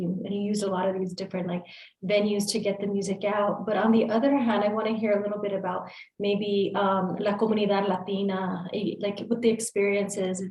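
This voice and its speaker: A woman's voice: mid-range voice, darkish tone, echoey room, poor audio. A woman hosting an interview, switching between English and Spanish with many fillers. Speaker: interview host. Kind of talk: conversational interview.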